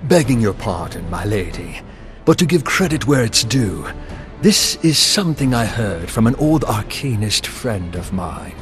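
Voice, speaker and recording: A man playing a respectful, seasoned seafaring marshal in a video game cutscene, explaining deliberately in archaic, deferential language. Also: fairly low pitch, very animated, faint music behind. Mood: modest, respectful. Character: earnest male military marshal, respectful, seasoned seafaring officer.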